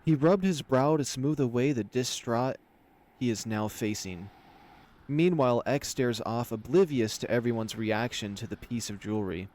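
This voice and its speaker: A man's voice: mid-range voice, normal speed, a little mellow. Man narrating a fantasy visual novel.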